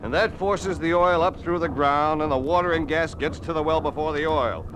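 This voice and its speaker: A man's voice: a little high, steady tone, normal speed, close to an everyday voice. An American man acting an earnest, cautioning oil geologist in a 1950s radio drama, precise and explanatory. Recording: noisy old 1950s radio broadcast.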